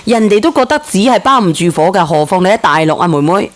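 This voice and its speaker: A woman's voice: medium pitch, animated, fast talker. A woman hosting a live relationship call-in, probing callers in a blunt, teasing way. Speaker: host of a call-in show.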